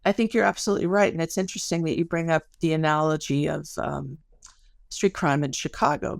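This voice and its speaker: Woman with a creaky, dry-recorded voice. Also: deep voice, no room sound. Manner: articulate, analytical. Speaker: interview guest, sociology researcher. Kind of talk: explanatory interview talk.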